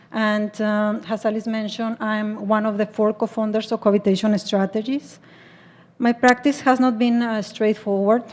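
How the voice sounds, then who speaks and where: Mexican woman lecturing, mellow and level. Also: mid-range voice. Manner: hesitant, frequent fillers and restarts. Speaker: guest lecturer. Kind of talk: public lecture. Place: lecture hall.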